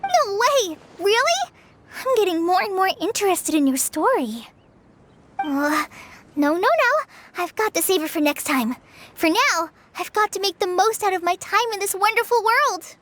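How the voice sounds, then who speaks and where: A woman's voice: very high-pitched, big pitch swings, moderate pace, fairly bright tone, heavily acted. A woman voicing a shy, earnest teenage forest ranger girl in an English anime game dub. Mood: excited. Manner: hesitant, polite, earnest. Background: game music.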